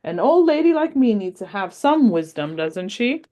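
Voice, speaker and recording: A woman voicing a wise, patient old grandmother in a read-aloud story, gently telling her granddaughter about her life. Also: a little high, sing-song, normal speed, voice pushed up. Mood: fond.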